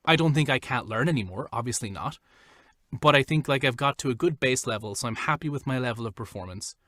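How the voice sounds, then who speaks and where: A man's voice: mid-range voice, quick pace, fairly bright tone, no echo, clean studio sound. A man, a voiceover artist, chatting casually as an interview guest, loose and filler-heavy.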